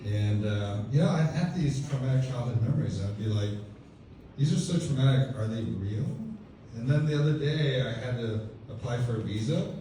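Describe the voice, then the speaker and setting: A man's voice: mid-range voice, moderate pace, warm tone, a little hiss or hum. A man in his fifties from Texas doing a stand-up comedy set, telling dry, self-deprecating stories with lots of casual fillers. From Texas, USA. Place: comedy club stage. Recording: echoey club audio from a distant mic. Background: audience laughter.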